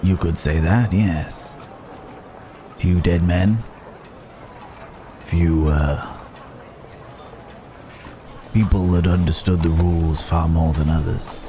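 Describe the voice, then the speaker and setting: A man playing a rugged, protective cowboy drifter in an intimate ASMR Wild West roleplay, with a drawl, teasing and reassuring as he pauses between thoughts. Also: sits low, steady tone, dark tone, a little hiss or hum. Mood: wry, reassuring.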